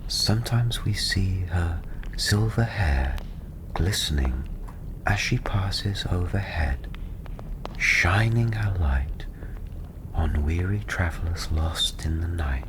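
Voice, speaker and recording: A man softly narrating a fantasy story in ASMR style, breathy and often half-whispered, soothing and unhurried. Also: low-pitched. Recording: steady ambient background noise.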